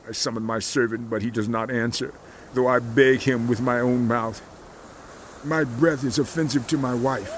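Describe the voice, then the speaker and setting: A man's voice: fairly high pitch, background noise, natural voice. An actor playing an anguished, suffering man who pleads with his friends in an impassioned, theatrical audio Bible drama.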